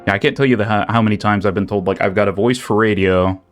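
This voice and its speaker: A man's voice: lowish voice, fairly even intonation, fast talker. A young man streaming a game, chatting casually with lots of fillers and restarts. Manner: rambling, many fillers and restarts. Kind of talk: casual chat while playing. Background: faint game music.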